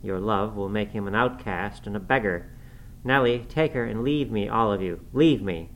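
A man's voice: lowish voice, moderate pace, natural voice. A man voicing a cruel, hot-tempered middle-aged master in an audiobook, commanding and threatening. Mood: cold threat. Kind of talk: audiobook character acting. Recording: clearly noisy recording.

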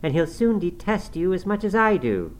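Man putting on a higher, defiant young woman. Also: normal speed, a bit bright, close to an everyday voice. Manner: pert, insistent, challenging. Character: bold, defiant young woman, sharp-tongued and reckless. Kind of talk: audiobook character acting. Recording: clearly noisy recording.

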